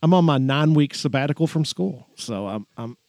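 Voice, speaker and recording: A man's voice: fairly high pitch, lively intonation, vocal fry, darkish tone, a little hiss or hum. Chatty middle-aged man telling stories. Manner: chatty storyteller, halting restarts, quick laughs. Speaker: podcast co-host, school staff. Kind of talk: casual podcast chat.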